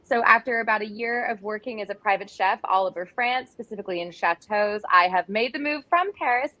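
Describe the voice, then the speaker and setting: A woman's voice: medium pitch, a little fast, sharp tone, no echo, poor audio. A woman, a cooking instructor chatting enthusiastically on a live video call. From Texas, USA. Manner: bubbly and enthusiastic. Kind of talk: casual live video chat.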